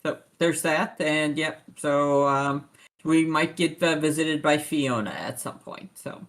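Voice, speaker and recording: A woman's voice: sits low, slow. A woman chatting casually and self-deprecatingly as she introduces herself as a tabletop game player.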